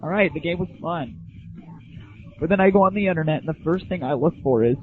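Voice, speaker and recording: A man's voice: a little high, a little fast, slight background noise. A man hosting a gaming audio show, delivering an informal solo rant in a crude, sarcastic, exaggerated griping manner. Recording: dull lo-fi 1990s internet audio, phone-like.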